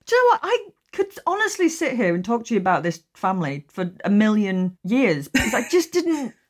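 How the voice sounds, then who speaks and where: A woman hosts a casual, chatty interview podcast. Her voice sits a little high and swings freely in pitch. She is effusive and informal, leaning emphatically on her points. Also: very animated, fairly quick. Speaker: podcast host.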